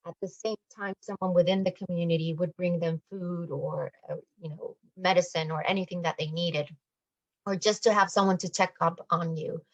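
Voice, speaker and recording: A woman's voice: lowish voice, fairly even intonation, normal speed, no echo, dull audio. A woman explaining a community campaign as a guest in a radio discussion, speaking over an online call.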